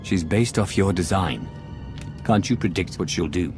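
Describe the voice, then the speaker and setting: A man's voice: low voice, normal speed, music in the background, natural voice. An actor playing a defiant male team leader in a video game, with a terse, commanding delivery. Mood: questioning.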